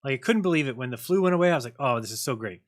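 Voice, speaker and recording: A man's voice: mid-range voice, very fast. A man hosting a call-in show, chatting casually with callers and making sarcastic jokes. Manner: animated, joking, sarcastic asides. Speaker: host.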